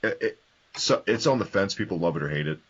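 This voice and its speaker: A man co-hosting a podcast in casual chat, with a dry, deadpan way of joking. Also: low-pitched, quick pace, over a noisy crowd. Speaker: podcast co-host. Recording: dull lo-fi podcast audio.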